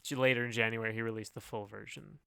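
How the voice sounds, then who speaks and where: A man's voice: on the low side, steady tone, quick pace, vocal fry, sharp tone, clear, resonant tone, no room sound, studio quality. A man co-hosting a podcast, talking casually about music. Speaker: podcast co-host.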